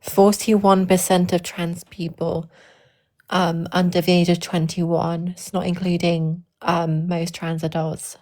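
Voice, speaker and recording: A woman speaks slowly in a lowish, flat voice as a guest on a livestream. She hesitates often, padding her points with fillers while hunting for the right word. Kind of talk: casual debate.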